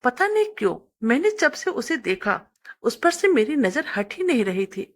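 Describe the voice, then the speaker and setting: A woman's voice: fairly high pitch, sing-song, normal speed. A woman reading a scripted first-person story as a narrator.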